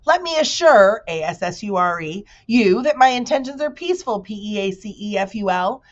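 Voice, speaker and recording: A woman's voice: medium pitch, animated, normal speed, lo-fi sound, pitched above the performer's own voice, close to an everyday voice. A woman voicing an enormous, boastful male bee in a children's storybook, fussy and fond of spelling words out letter by letter. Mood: reassuring, pompous.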